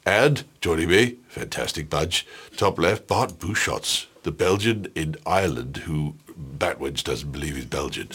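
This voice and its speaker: A man's voice: rumbling low, lively intonation, measured pace, fairly bright tone, slightly rough. A man hosting a casual quiz show as Santa, with teasing, jokey banter. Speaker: quiz host.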